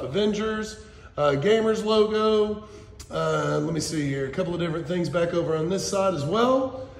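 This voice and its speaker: A man in his forties giving a casual, folksy auction walkthrough, listing items for viewers on a handheld phone. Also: high-pitched. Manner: folksy, addresses viewers as guys. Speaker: auction house presenter. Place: large indoor auction hall and warehouse. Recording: echoey warehouse hall reverb.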